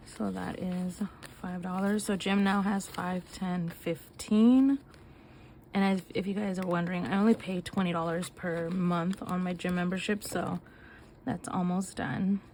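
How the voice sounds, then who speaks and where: Woman, nasal, short of breath, lowish voice, counts cash aloud, faint room noise. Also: a little mellow. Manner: counts bills aloud in quick chatty bursts. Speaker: budgeting vlogger. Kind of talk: casual chat while counting cash.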